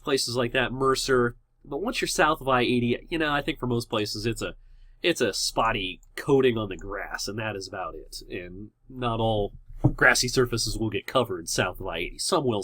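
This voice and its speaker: A man working as a TV meteorologist, hoarse from a cold and sore throat, walks through the weather in a loose, unscripted way with frequent pauses and restarts. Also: medium pitch, fairly bright tone, no echo. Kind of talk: casual weather forecast video.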